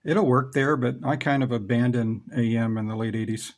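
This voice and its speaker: A man's voice: medium pitch, normal speed, noisy background. Middle-aged man, casual hobbyist explainer. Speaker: host, amateur radio hobbyist.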